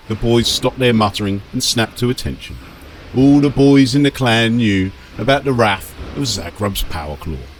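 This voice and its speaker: A man narrating a scripted fantasy audiobook dramatically, with measured, emphatic phrasing. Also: fairly low pitch, a little hiss or hum.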